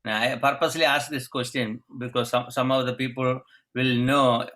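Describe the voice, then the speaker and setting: A man hosting an interview over a video call, asking questions in halting, non-native phrasing. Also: mid-range voice, flat delivery, a bit bright. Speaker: interview host.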